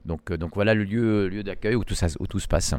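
Very deep-voiced winemaker from Lebanon. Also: male voice, brisk, darkish tone, clean tone. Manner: hesitant, many fillers. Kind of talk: guided tour interview.

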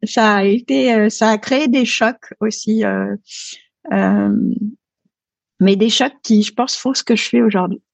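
A woman's voice: medium pitch, steady tone, measured pace, vocal fry, no room sound. A French woman in her thirties, a podcast guest talking casually and hesitantly, with many fillers and restarts. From France. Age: 30s. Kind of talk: casual interview talk.

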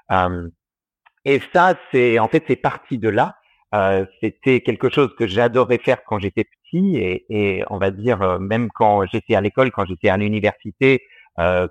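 A man with an Australian accent and a low voice tells his story in a casual interview. He hesitates often, filling gaps and restarting phrases. The sound is dry and close, with no room around him. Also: no room sound. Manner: hesitant, many fillers and restarts. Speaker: guest, distiller.

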